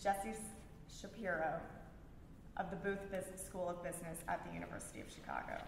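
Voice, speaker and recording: A woman reading prepared texts aloud at a public event, sometimes stumbling over words and restarting. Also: fairly low pitch. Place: large reverberant room at a library event. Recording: very echoey hall with background noise.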